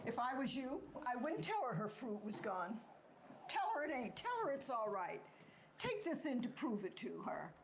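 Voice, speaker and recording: A remorseful farm wife acted by a woman speaks in a high voice, grieving yet quietly defiant. It is stage drama, captured with faint background noise. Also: big pitch swings, normal speed. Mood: quietly resolute. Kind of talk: stage play acting. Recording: noisy amateur recording.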